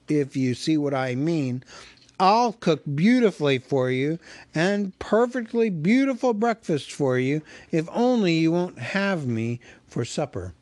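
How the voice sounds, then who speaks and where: A man's voice: fairly high pitch, dry recording, voice pushed up. A man voicing a small, frightened male hobbit who stammers and pleads breathlessly.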